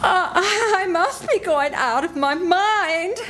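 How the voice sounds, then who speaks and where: A woman's voice: very high voice, expressive, takes it slowly, background noise, exaggerated voice. An older woman playing a frightened woman on a lonely island in an amateur old-time radio melodrama, anxious and close to tears. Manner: breathless, anxious, near tears.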